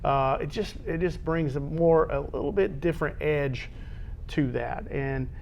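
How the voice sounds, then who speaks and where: An American man co-hosting a local school sports show, talking conversationally and haltingly, often repeating words as he goes. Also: gravelly, a little mellow. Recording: dull lo-fi audio with low rumbling noise.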